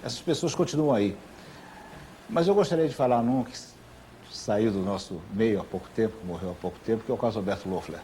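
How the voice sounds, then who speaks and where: A Brazilian man with a fairly low, warm and dark voice reflects in an interview. He is earnest and deliberate, building emphasis through repetition. There is faint noise on the recording. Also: poor audio. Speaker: television professional giving testimony.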